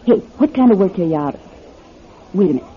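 An actress plays a kindly scrubwoman in an old radio play. She is modest and folksy, faltering a little, with pitch that moves up and down a lot. The recording is slightly muffled. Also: animated, fast talker, close to an everyday voice. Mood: friendly, candid. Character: kindly, modest scrubwoman with mind-reading knack. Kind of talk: radio drama acting. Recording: dull lo-fi radio drama tape.

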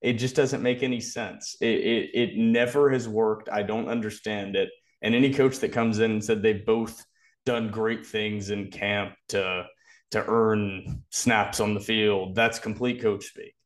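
Lower-voiced man giving blunt football takes. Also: fairly even intonation, a little slow. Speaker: sports podcast co-host. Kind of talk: casual opinionated football analysis.

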